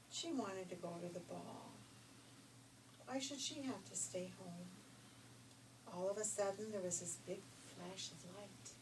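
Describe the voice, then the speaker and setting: An older woman telling a fairy tale informally, in a folksy, expressive, chatty way. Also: medium pitch. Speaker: storyteller. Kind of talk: informal read-aloud fairy tale storytelling with asides. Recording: distant mic with faint background noise.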